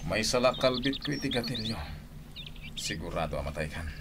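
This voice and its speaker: A man's voice: lowish voice, very animated, fairly quick, very noisy. An actor playing a vengeful, threatening man in an Ilocano radio drama. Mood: cold menace. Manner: threatening, taunting. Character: vengeful, menacing man holding an enemy at gunpoint.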